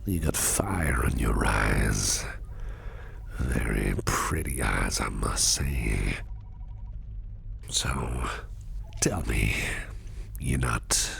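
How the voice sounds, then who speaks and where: A man playing a shady businessman boss in an ASMR roleplay, flirtatious and protective, murmuring in a whispery gravelly voice with slow, teasing deliberation. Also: very deep voice, animated, a bit bright, heavily acted. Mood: sly, probing. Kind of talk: ASMR roleplay, close personal attention.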